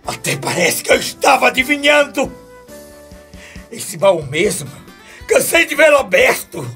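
A man's voice: very high voice, very animated, a little slow, bright, forceful tone, soft background music, exaggerated voice. A man playing a scheming, hot-tempered husband with a Brazilian accent in a radio soap, ranting and scolding. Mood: angry scolding. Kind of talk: radio soap opera acting.

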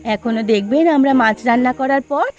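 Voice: high-pitched, normal speed, lo-fi sound, natural voice. A performer voicing gossipy, hospitable village women in a Bengali cartoon, with music behind. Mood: mocking, amused. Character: village women, gossiping mother-in-law and daughter-in-law, hospitable but scornful. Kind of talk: dubbed Bengali cartoon dialogue.

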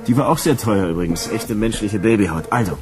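An actor playing a dry, stubborn bounty hunter in a radio drama. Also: male voice, fairly low pitch, expressive, brisk, close to an everyday voice. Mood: dry, resigned.

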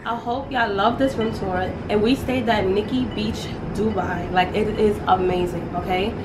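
A chatty woman showing off a hotel suite, talking straight to her viewers in a mid-pitched voice. Steady background noise and room ambience run under her, as from a handheld camera. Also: moderate pace. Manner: chatty, addresses viewers as y'all. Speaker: travel vlogger co-host. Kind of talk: casual room-tour chat to viewers.

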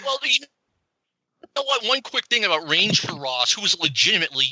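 A man co-hosting a podcast in an animated, opinionated way. His voice is very bright and cutting, recorded close and dry with no room sound. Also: sits high, fairly quick, no room sound. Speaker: podcast co-host. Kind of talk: casual podcast chat.

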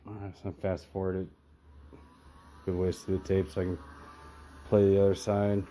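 A man with a deep, relaxed voice talks through a piece of audio gear he is selling. His delivery is casual and unscripted, picked up by a camera mic indoors, with some music playing faintly in the background. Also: normal speed. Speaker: seller demonstrating used audio gear. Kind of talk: casual off-the-cuff product demonstration. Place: indoor room with hi-fi speakers.

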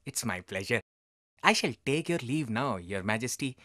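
Man as a lively, deferential matchmaker. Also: mid-range voice, normal speed, no room sound, studio quality. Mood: eager, respectful. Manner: courtly, persuasive. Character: eager, deferential male royal matchmaker.

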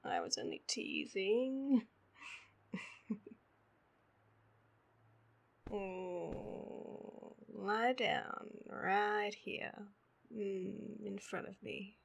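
A sleepy, needy girlfriend played by a woman with an Australian accent, whining playfully at bedtime. Her delivery is slow and drawled, with a creaky, husky voice. It is intimate roleplay audio, spoken right to the listener. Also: medium pitch, big pitch swings, heavily acted. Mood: teasing, playful. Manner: drowsy, whiny drawl with sighs and hums.

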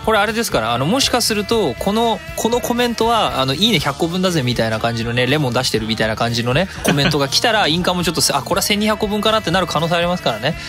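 A man's voice: a little high, animated, racing, few pauses, over music. A man in his thirties hosting a web radio show, chatting casually and jokingly and reading out announcements. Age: 30s. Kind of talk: casual comic chat and announcements.

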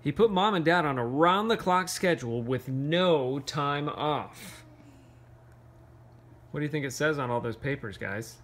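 Male storyteller, lively and unhurried, playful read-aloud for kids with chatty asides. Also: a little high. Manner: playful, pauses to ask questions. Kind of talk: animated picture-book read-aloud with casual asides to children.

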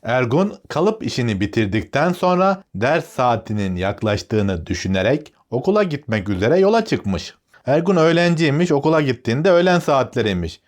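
A man narrating a children's fairy tale in a deliberate, explanatory storytelling manner. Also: medium pitch, very animated.

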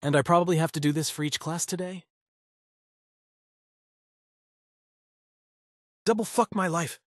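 A man playing a cynical, anxious high school boy, narrating a visual novel in a deadpan, grumbling way. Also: fast talker, no room sound, clean studio sound, close to an everyday voice. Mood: exasperated. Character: cynical, anxious, foul-mouthed male high school student. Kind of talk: first-person visual novel narration and dialogue.

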